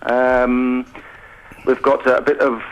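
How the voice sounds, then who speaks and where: A man's voice: mid-range voice, flat delivery, takes it slowly, slight background noise. A British man who directs and teaches drama, chatting effusively as a guest in a radio interview. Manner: effusive, talkative. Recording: dull lo-fi radio sound.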